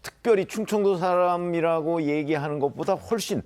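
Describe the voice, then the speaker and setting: A man's voice: fairly high pitch, normal speed, a little mellow. A man commenting on politics as a studio panelist, talking in a discursive way with many fillers.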